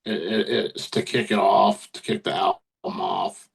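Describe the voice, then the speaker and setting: A man answering interview questions casually as a musician, haltingly searching for his words. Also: on the low side, little pitch movement, creaky, husky.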